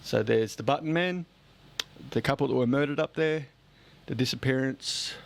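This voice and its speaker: A man's voice: mid-range voice, moderate pace, vocal fry. A man with an Australian accent chatting casually as a podcast host, blunt and joking as he rambles along. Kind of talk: casual chat.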